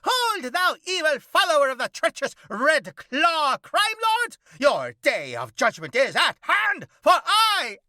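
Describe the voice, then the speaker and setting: A performer voicing a melodramatic male vigilante in a cockroach costume who rants and shouts. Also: high-pitched, wildly swooping pitch, measured pace, hardly any pauses, dry recording, clean studio sound, voice pushed up, heavily acted. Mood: manic ranting.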